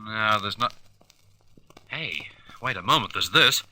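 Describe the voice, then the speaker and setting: A man's voice: lowish voice, lively intonation, unhurried, bright, forceful tone, slight background noise, exaggerated voice. An actor playing a decisive space hero who leads his crew in an old-fashioned radio adventure, thinking aloud as he gives orders. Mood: intent, excited.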